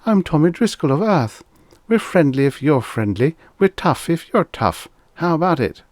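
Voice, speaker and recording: A man voicing an excited, eager small boy with a piping treble and boastful bravado, as in an audiobook story. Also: fairly high pitch, normal speed, no echo, voice pushed up. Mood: excited, bold. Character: excited, eager small boy, earnest space-adventure fan. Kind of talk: audiobook character voice.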